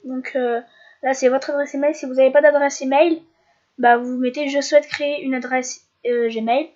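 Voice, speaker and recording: A young woman from France with a head cold walks through a screen-recorded tutorial. Her voice sits high and she stumbles, pausing on fillers and restarting her sentences. The home mic sounds dull and lo-fi. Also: steady tone, darkish tone. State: stuffy with a cold. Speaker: YouTuber.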